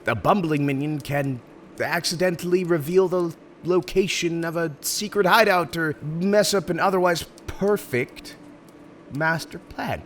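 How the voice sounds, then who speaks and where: A man's voice: fairly high pitch, unhurried, a bit bright, a little hiss or hum, voice pushed up. A man playing an urbane, smug supervillain in an ASMR roleplay, speaking in a courteous, theatrical and emphatic way. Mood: reassuring, explanatory. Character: urbane, smug male supervillain interviewing a prospective minion.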